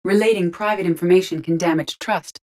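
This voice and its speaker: A courteous female artificial intelligence from a sci-fi video game, played by a woman. The voice sits low and stays composed. Each phrase is measured and exact, with formal wording and a dry, deadpan delivery. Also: natural voice. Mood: composed, matter-of-fact. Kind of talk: scripted video game dialogue.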